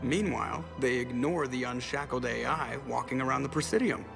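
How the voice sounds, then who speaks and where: A man's voice: medium pitch, a little fast, gravelly, music in the background, close to an everyday voice. An actor playing a sardonic, casual, wisecracking crewman in a video game, with a wry delivery.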